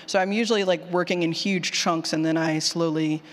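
A woman's voice: fairly low pitch, fairly even intonation, brisk. A woman giving a casual, chatty workshop talk and taking questions, informal and self-deprecating, with a ready laugh. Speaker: conference speaker on audio drama writing. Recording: echoey hall with long reverb.

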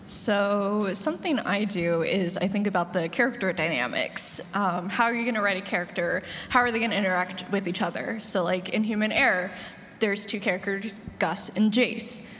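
A woman's voice: medium pitch, a bit bright. A woman giving casual explanations as a writer on a conference panel. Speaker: panelist, audio drama writer. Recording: reverberant conference hall, noisy.

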